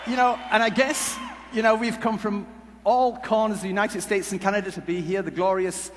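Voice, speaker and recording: A man with a high voice presents on stage in a jokey, halting style. He wanders through humorous stories as a conference speaker. The hall adds long reverb, with some noise behind him. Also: fairly even intonation. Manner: jokey, halting, rambling delivery. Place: large conference hall before an audience. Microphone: headset mic. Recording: echoey hall PA with background noise.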